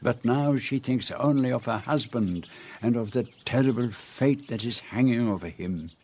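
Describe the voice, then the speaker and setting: An actor playing a shrewd, fussy middle-aged Belgian detective in a radio drama, with a French-speaking Belgian accent and measured, courtly phrasing. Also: male voice, mid-range voice, darkish tone, lightly acted. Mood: musing, measured.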